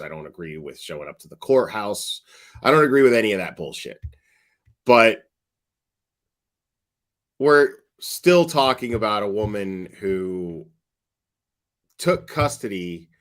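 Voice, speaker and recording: A man's voice: lowish voice, a bit bright. A man giving casual, sweary reaction commentary in a blunt, mocking, sardonic manner. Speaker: commentator reacting to online true-crime streamers.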